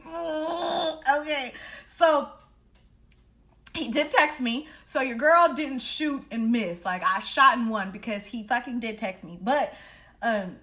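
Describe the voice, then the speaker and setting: Bright-voiced young woman telling a story. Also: a little high. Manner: animated, dramatic re-enacting of dialogue; blunt, slangy, swearing freely. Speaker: storytelling vlogger. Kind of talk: casual personal storytime, chatty and profane.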